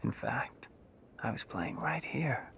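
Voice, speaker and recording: An actor playing a brooding, enigmatic young man in a video game cutscene, speaking in a measured, solemn, breathy way. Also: medium pitch, lively intonation, a bit bright, whispered, heavily acted. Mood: haunted, reflective. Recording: noisy game cutscene audio.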